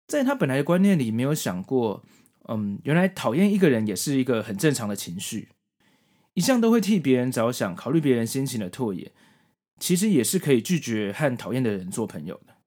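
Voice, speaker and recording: A man's voice: medium pitch, sing-song, brisk, darkish tone. A man hosting a podcast, narrating and commenting on a story from prepared notes in an explanatory way. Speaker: podcast host.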